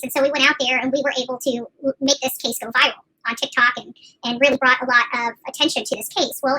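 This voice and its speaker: A woman's voice: sits high, pushed and bright, slight background noise, rough recording. A woman host delivering a casual commentary rant, indignant and emphatic, repeating phrases to drive points home. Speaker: YouTube channel host covering missing persons cases.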